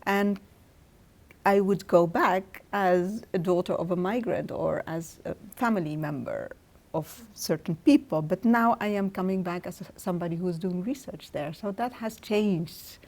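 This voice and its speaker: A woman's voice: on the low side, moderate pace, darkish tone. Middle-aged female professor, Tunisian-born, reflective interview answers, hesitant delivery. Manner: thoughtful, hesitant with restarts. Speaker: guest professor.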